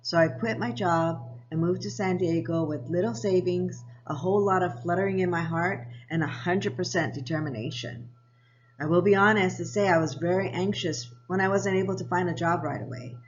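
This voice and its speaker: A woman of around 60, raised in Japan and Hawaii, narrating her own life story for a vlog in a measured, read-aloud way. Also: lowish voice, lo-fi sound. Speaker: vlogger. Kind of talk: scripted personal narration.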